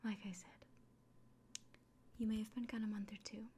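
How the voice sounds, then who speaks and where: A woman playing a kindly village innkeeper in a fantasy ASMR roleplay, speaking in a soft, breathy whisper with gentle, hesitant pauses. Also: medium pitch, little pitch movement, natural voice. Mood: earnest, encouraging. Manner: gentle, unhurried, hesitant pauses, fussing over the listener. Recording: close soft recording with clear background noise.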